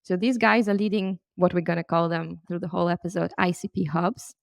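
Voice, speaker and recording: A woman hosts a podcast in a low voice with a gravelly edge. She sounds upbeat and welcoming. The recording is close and dry, with no room sound. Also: steady tone, a little fast, no echo. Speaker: podcast host. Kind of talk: hosting a podcast interview.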